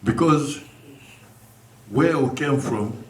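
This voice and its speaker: An older man from Uganda, speaking earnestly to a community gathering in halting, restarting sentences. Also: medium pitch, a little hiss or hum. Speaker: guest speaker. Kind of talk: community speech. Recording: echoey room from far off.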